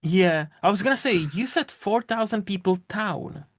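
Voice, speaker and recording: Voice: mid-range voice, expressive. A podcast host from the Republic, speaking English in a chatty, digressive way. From Czech Republic. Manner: chatty, digressive, quick to laugh. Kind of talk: casual chat.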